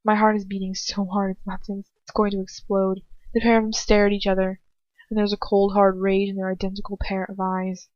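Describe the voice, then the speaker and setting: A woman's voice: mid-range voice, completely flat delivery, a little fast, a little mellow, no echo, muffled recording. A woman reading a story aloud as an amateur, expressively and informally, correcting herself and slipping into casual asides. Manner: informal, swears, self-correcting, jokey asides. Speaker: amateur fan fiction reader.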